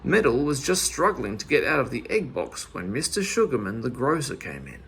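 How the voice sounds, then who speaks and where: Husky, animated man reading a story. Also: mid-range voice, moderate pace. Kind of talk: children's story narration.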